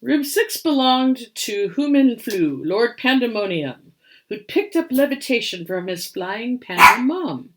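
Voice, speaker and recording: A woman giving a dramatic reading of a children's storybook in a theatrical, expressive manner. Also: a little high, unhurried, heavy background noise.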